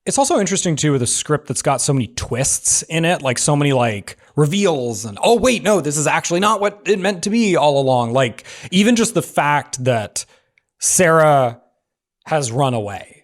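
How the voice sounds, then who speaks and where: A man in a casual panel discussion, talking emphatically in halting phrases peppered with "like". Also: on the high side, lively intonation.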